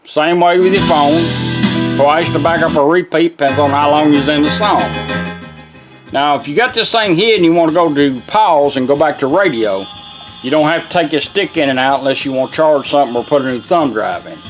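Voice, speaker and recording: A man with a fairly high-pitched voice shows how a device works. He is casual and folksy, taking it step by step, while guitar music plays clearly behind him. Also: fairly quick. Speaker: presenter demonstrating a car radio. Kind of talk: casual hands-on product demonstration.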